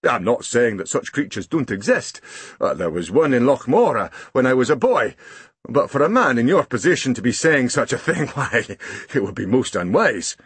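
A man reading an audiobook voices a shrewd, solemn Scottish countryman with a calm, persuasive manner. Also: mid-range voice, breathy edge, dry recording, heavily acted. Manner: measured, dry, quietly persuasive.

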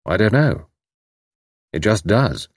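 A gentle, dry Englishman from an audiobook novel, read by a male narrator. He sounds calm and understated, in a lowish, slightly husky voice recorded close and dry. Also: takes it slowly, no room sound, exaggerated voice. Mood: gentle, simple. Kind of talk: audiobook character dialogue.

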